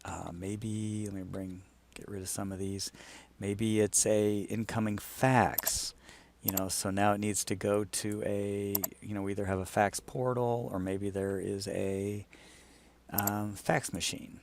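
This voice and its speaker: A man's voice: lowish voice, level tone, a little slow, creaky, a little mellow, a little hiss or hum. A man talking casually through an on-screen demonstration, informal and thinking aloud as he goes. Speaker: client explaining a design project. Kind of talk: casual screen-share walkthrough.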